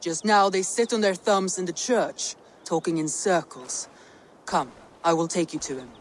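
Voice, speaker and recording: Game casting: actress as confident female Viking leader, lowish voice, some background noise. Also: expressive, moderate pace, lightly acted. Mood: confident, firm. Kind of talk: video game cutscene acting.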